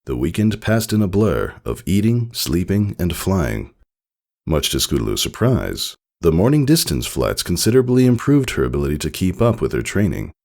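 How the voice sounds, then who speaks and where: Male story narrator, deep and fry-laden, expressive scripted reading. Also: moderate pace. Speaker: fan fiction reader.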